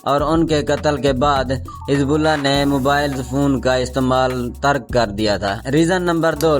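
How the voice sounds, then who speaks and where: A man reading a scripted explainer narration in a formal, measured, documentary news style. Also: medium pitch. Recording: background music under the voice. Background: music.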